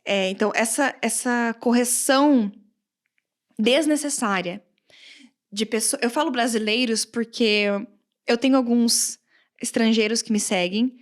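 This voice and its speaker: A Brazilian woman with a countryside accent, speaking as a guest on a relaxed podcast. Her voice sits a little high, and she talks in an animated way, laughing often and acting out the comments she quotes. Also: measured pace. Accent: Brazilian Portuguese, countryside. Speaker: guest, English teacher. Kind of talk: casual podcast conversation.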